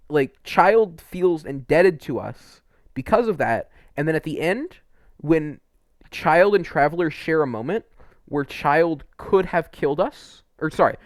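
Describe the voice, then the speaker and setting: A man's voice: fairly high pitch, no room sound, clean studio sound. A young man giving casual, unscripted video game commentary in an informal, enthusiastic way, with some mild swearing.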